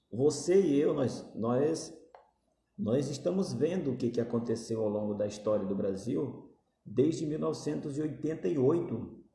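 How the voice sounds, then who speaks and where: Earnest Brazilian man, very dark voice. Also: mid-range voice, gravelly, roomy sound. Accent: Brazilian Portuguese. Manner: earnest, repetitive, gestures while talking. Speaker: political commentator. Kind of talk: direct-to-camera opinion talk. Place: small indoor room with plain wall. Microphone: phone camera at arm's length.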